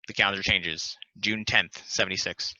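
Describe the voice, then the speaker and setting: A man's voice: sits low, steady tone, normal speed, bright, forceful tone, dry recording, dull audio. A man reading scripted stage directions as narrator in a play table read.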